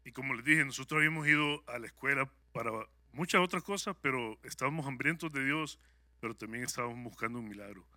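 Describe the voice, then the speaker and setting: A man's voice: medium pitch, fast, bright, forceful tone, no echo. A man from El Salvador with a Salvadoran Spanish accent, sharing a personal testimony with a congregation in a conversational, upbeat way, with many hesitant fillers. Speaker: guest speaker at a church service.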